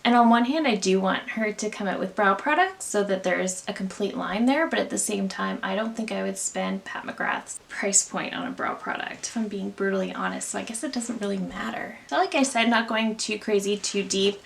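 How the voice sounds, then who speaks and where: Chatty young woman with a gravelly edge. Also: medium pitch, normal speed, few pauses, slight background noise. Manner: chatty, candid product opinions. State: congested from allergies. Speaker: beauty vlogger. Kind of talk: casual makeup tutorial chat.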